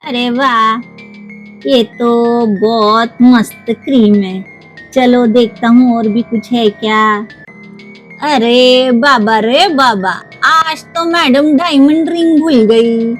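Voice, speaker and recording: A sneaky household cook in a toy cartoon story, acted out by a woman. He is greedy and gloating, muttering in a high, slow voice. Soft music plays underneath. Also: steady tone. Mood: gloating, sly. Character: sly, greedy thieving male house cook, toy character.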